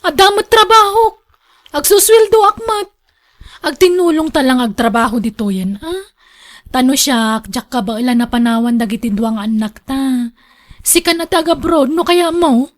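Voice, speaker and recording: A woman's voice: high voice, moderate pace, natural voice. An actress with an Ilocano accent playing a scolding, thrifty wife and mother in a radio drama. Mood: reproachful. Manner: scolding, reproachful. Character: nagging, thrifty wife and mother, exasperated with vain husband.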